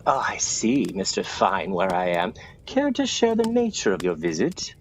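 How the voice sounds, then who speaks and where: A man's voice: low voice, huge pitch swings, normal speed, slight background noise. A man playing a genteel, wry London doctor in a radio play, with a fussy, exclamatory way of talking.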